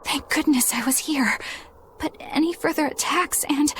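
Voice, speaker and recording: Earnest, proper young exorcist. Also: female voice, high-pitched, normal speed, a bit bright, a little hiss or hum. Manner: formal, earnest.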